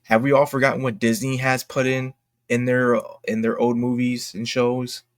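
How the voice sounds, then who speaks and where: A man hosting an online commentary video, speaking off the cuff from notes in a halting, self-correcting way. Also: medium pitch, little pitch movement, dry recording. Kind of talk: unscripted opinion commentary from notes.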